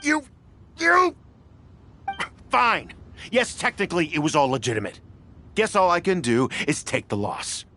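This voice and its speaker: A man playing a blustering, disgruntled merchant in a video game, huffy, excitable and hesitant in his delivery. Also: high-pitched, unhurried, pauses often, full character voice. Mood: flustered, threatening. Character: blustering, disgruntled male merchant who grudgingly backs down. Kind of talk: scripted video game voice acting.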